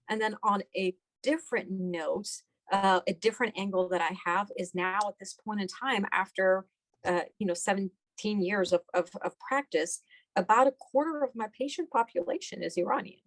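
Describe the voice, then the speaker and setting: A woman's voice: on the low side, no room sound, poor audio. Middle-aged woman, dry close sound. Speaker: doctor being interviewed. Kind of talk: conversational interview answers.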